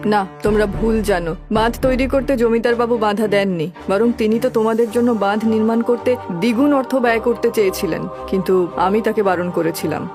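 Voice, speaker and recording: A woman's voice: a little high, a little fast, few pauses, close to an everyday voice. A woman playing a devoted, dignified landlord's wife in a cartoon, in an earnest, melodramatic manner. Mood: resolute. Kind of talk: Bengali cartoon voice acting. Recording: music behind the voice.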